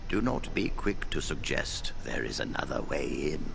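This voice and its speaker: An actor playing an elderly, scheming senior mage in a fantasy video game, measured, conspiratorial and gravelly. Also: male voice, big pitch swings, exaggerated voice. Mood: secretive, instructing. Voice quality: deep, gravelly. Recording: clearly noisy game audio capture.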